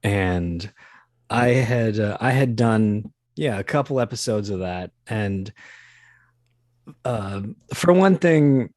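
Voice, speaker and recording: A man with a low voice, recorded close and dry, talks casually as an interview guest. He is halting and modest about himself, often restarting as he searches for words. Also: lively intonation, darkish tone, no room sound. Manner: hesitant, self-deprecating, halting. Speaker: podcast guest, voice performer.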